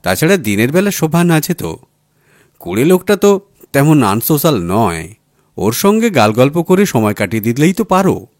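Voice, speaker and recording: A man's voice: medium pitch, big pitch swings, moderate pace, darkish tone, dry recording, studio quality, pitched above the performer's own voice, close to an everyday voice. A man voicing a busy businessman husband in an audiobook story, breezy, dismissive and patronising. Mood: breezily dismissive. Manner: breezy, patronising, listing advice. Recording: music under the voice.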